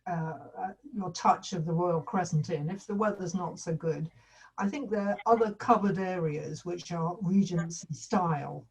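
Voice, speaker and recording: A woman's voice: fairly low pitch, a little mellow, husky. A woman talking casually in a meeting, offering suggestions in a hesitant way. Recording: distant, muffled microphone.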